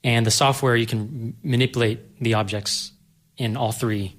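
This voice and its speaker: A man's voice: medium pitch, steady tone, moderate pace, a bit bright. A man explaining and discussing film in a casual panel conversation. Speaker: panel guest explaining animation.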